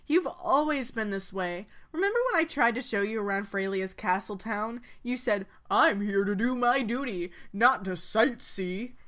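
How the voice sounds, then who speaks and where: A woman playing a friendly young female pegasus knight in a game fan dub, with a gentle, familiar way of talking. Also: medium pitch, normal speed, few pauses, natural voice. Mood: teasing. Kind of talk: acted game dialogue.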